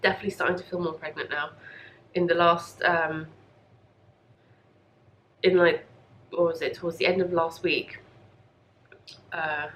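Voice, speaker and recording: Slightly bunged-up woman podcaster, lower-pitched voice. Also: little pitch movement, unhurried, pauses often, fairly bright tone, a little hiss or hum. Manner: rambling, self-deprecating, apologetic. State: congested and coughy from a lingering cold. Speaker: podcast host. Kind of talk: casual chatty video podcast. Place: home craft room.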